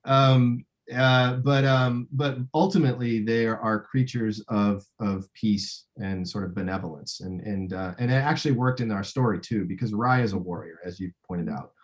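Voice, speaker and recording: A man speaking as a film director in a casual interview, thinking aloud in a halting way. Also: on the low side.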